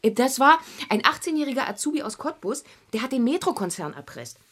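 A woman's voice: high voice, lively intonation, fairly quick, fairly bright tone, breathy edge, heavily acted. An actress playing a fed-up journalist girlfriend in a radio drama, speaking bluntly and with sarcasm. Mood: dry, firm. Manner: blunt, sarcastic, matter-of-fact. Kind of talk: naturalistic radio drama dialogue.

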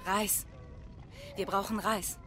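A woman's voice: medium pitch, fairly even intonation, takes it slowly, sharp tone, soft background music. A woman playing a starving, anxious young mother in a radio drama. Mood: weary despair. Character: starving young mother, frail, pleading, anxious for her baby.

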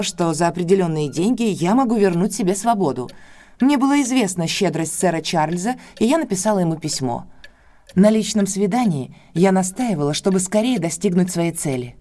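A woman's voice: lowish voice, warm tone, natural voice. An actress playing a guarded, anxious young woman in an audio drama, speaking with careful restraint.

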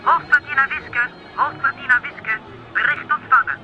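A woman playing a brisk headquarters radio dispatcher in a children's audio drama, giving clipped official calls. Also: high voice, soft background music, lightly acted. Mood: urgent. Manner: clipped official call-outs. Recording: dull lo-fi radio link.

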